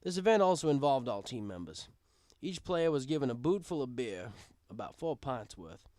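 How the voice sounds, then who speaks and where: A man's voice: mid-range voice, very animated, moderate pace, warm tone, a little hiss or hum. A man reading a scripted first-person story as an audiobook narrator, with dramatic emphasis. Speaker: audiobook reader.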